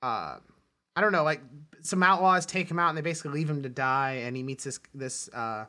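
A man's voice: medium pitch, expressive, a little fast, sharp tone. A man co-hosting a film podcast, chatting casually and telling stories with joking exaggeration. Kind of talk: casual movie chat.

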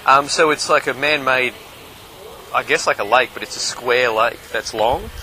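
A man's voice: mid-range voice, bright, forceful tone, a little hiss or hum, poor audio. A man casually recapping his race, as a podcast host and amateur triathlete.

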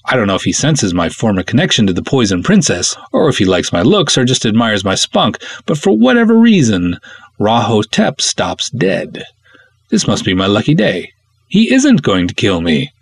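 A man's voice: fairly low pitch, sing-song, normal speed, creaky, slightly rough. An American man from Minnesota with a playful, theatrical manner, reading and hosting expressively as a podcast host. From Minnesota, United States.

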